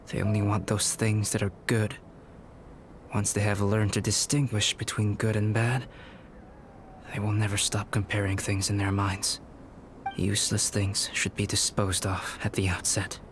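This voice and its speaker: A man voicing a calm, enigmatic young male alchemist in a video game cutscene, measured and philosophical. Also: fairly low pitch, natural voice. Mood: reflective. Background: game music.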